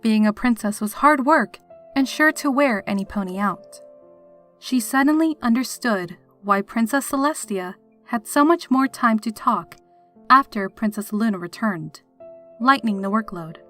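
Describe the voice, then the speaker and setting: A woman's voice: a little high, expressive, moderate pace, fairly bright tone. A woman reading a horror story aloud as a scripted, dramatic narrator. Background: background music.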